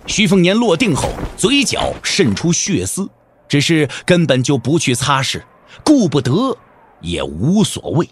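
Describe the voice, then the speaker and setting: A man's voice: on the high side, huge pitch swings, measured pace, slight background noise. A man giving dramatic scripted narration for a martial arts audio drama. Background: background music.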